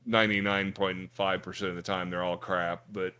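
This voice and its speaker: Blunt man with a bright, lowish voice. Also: level tone, normal speed, smooth. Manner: blunt, opinionated, casually profane. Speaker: podcast host. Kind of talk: casual pop culture chat.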